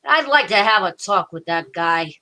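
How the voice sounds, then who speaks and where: A woman playing a gruff, bossy male police detective in an old-time radio drama, with blustering, slangy tough-cop talk. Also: mid-range voice, expressive, moderate pace, sharp tone. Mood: dry, fond.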